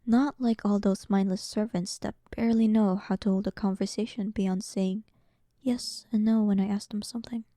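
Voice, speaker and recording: A woman voicing a dominant, sarcastic demon queen in a roleplay audio, soft and breathy, with a teasing, condescending manner. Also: medium pitch, fairly even intonation, moderate pace, no echo, studio quality, natural voice. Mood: amused, teasing. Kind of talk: scripted roleplay audio, intimate one-sided dialogue.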